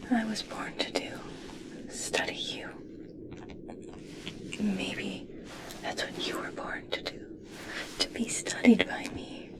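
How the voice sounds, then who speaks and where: Woman acting as an obsessed lady scientist, asmr whisper, super slow and tender, kinda possessive. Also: a little high. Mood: obsessive. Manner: slow, breathy, intimate whispering.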